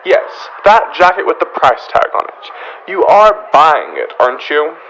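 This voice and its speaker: A man's voice: a little high, sing-song, a little slow, a bit bright, a little hiss or hum, rough recording. A man playing a fussy, haughty department store floorwalker, prim and pompous, in an old-time radio comedy. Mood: exasperated, demanding.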